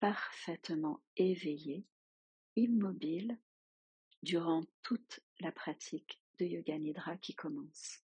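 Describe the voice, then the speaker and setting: A woman leading a guided yoga nidra relaxation as a teacher, giving soothing, unhurried instructions with long pauses. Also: on the low side, darkish tone, breathy edge, no echo, highs cut off.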